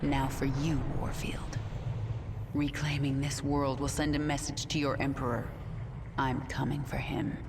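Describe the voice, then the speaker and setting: A woman's voice: extremely low pitch, flat delivery, unhurried. Commanding alien swarm queen. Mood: resolute. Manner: cool, authoritative, clipped. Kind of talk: video game voice acting. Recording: clear ambient noise behind.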